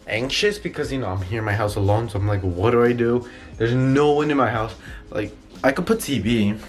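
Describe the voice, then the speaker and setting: A teenage boy from New York rambling in a casual home vlog, with a congested, nasal voice and lots of fillers. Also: medium pitch. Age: teens. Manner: rambling, filler-heavy, self-conscious. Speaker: vlogger. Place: home, indoors.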